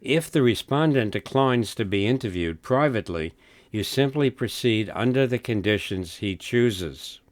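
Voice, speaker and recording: A man narrating a scripted instructional film in a measured, instructive manner. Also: mid-range voice, expressive, no room sound, studio quality.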